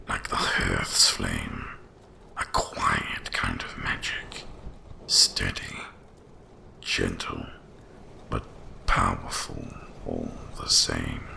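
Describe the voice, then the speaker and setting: A man voicing an ancient, gentle winter dragon in an ASMR roleplay, hushed and soothing, with a deep gravelly half-whisper and deliberate pauses. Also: little pitch movement, slight background noise, heavily acted. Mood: tender, soothing.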